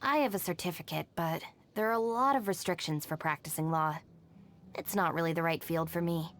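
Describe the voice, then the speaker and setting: A woman's voice: fairly low pitch, fairly quick, fairly bright tone. An actress playing a level-headed, self-reliant young woman in a video game, speaking in a composed, dry, matter-of-fact way. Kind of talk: scripted video game character voice lines. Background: background music.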